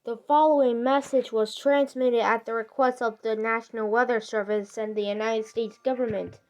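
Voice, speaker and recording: A woman's voice: on the high side, steady tone, moderate pace. A synthetic-sounding woman reading a scripted emergency broadcast announcement. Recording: dull lo-fi broadcast audio.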